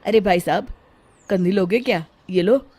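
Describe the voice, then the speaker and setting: A woman voicing a blunt male street hawker selling combs with drawn-out calls in a cartoon story. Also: mid-range voice, a little mellow, slightly rough, natural voice. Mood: blunt, mocking. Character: loud roadside comb-seller man, blunt street hawker.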